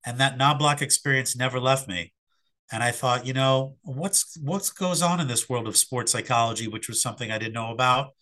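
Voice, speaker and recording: A middle-aged man, slightly hoarse after an illness, answering interview questions in a reflective, hesitant way with fillers and restarts. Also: mid-range voice, normal speed, pushed and bright. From Philadelphia area. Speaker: sports psychologist guest.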